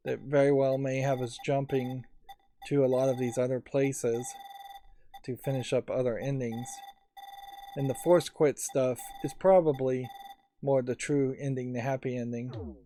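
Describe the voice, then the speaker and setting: A male gamer commentates in an unhurried, mellow voice. He thinks aloud and analyses as he plays, with some music underneath. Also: mid-range voice. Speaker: let's play streamer. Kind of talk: musing game commentary.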